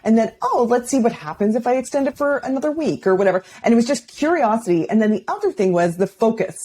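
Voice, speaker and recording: A woman's voice: on the high side, lively intonation, quick pace, mellow, breathy edge. A woman hosting a podcast, asking questions and affirming her guest in casual conversation. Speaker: podcast host. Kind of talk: casual interview chat.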